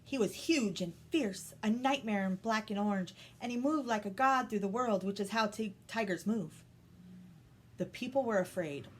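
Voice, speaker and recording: A woman's voice: medium pitch, normal speed, slightly rough. A woman reading a children's story aloud as a storytime host. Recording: some background noise.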